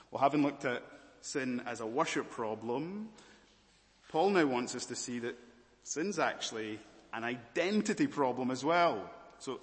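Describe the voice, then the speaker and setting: Scottish preacher in an echoing hall. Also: male voice, medium pitch. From Malawi, raised as Scottish. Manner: swings from hushed to loud emphatic exhortation. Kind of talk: sermon.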